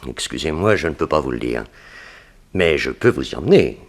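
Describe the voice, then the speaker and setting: An actor playing a vengeful, scheming gangster in a 1960s French radio crime drama, speaking in a deliberate, insinuating way. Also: male voice, rumbling low, sing-song, exaggerated voice. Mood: insinuating, firm.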